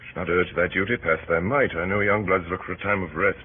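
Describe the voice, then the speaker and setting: An actor playing a grave, weary Roman nobleman who speaks gently, in measured, solemn verse in the old radio Shakespeare manner. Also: low-pitched, fairly even intonation, natural voice. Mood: tender, weary. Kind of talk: 1930s radio Shakespeare acting. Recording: muffled, noisy old radio recording.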